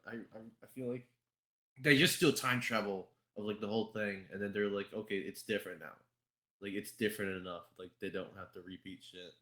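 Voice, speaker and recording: Low-pitched man rambling in a roomy space. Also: fairly even intonation, a little fast, fairly bright tone. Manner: rambling, repetitive, heavy swearing and filler words. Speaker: podcast host. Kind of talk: casual group podcast chat about games and fandubbing. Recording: roomy, echoey voice recording.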